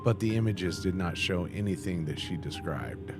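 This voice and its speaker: Low-voiced man reading aloud over music. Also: lively intonation, moderate pace, vocal fry. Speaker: reaction video host. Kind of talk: reading on-screen text aloud with casual commentary. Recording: music playing behind the voice.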